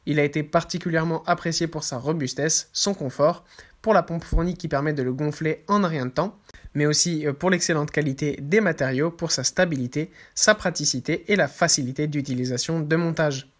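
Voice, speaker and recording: A man narrates a product top-three as its host, his voice sitting a little high for a man. He reads steadily from a script, stumbling now and then on a filler before carrying on. It is a voice-over for a review video. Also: fairly quick. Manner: steady reading with occasional hesitations. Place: voice-over, not the pictured scenes.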